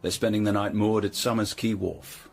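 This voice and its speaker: A tough hired ruffian in a Tudor radio drama. His voice is low and very husky. He talks bluntly and proudly, in a clipped, businesslike way. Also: little pitch movement, normal speed. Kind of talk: period radio drama acting.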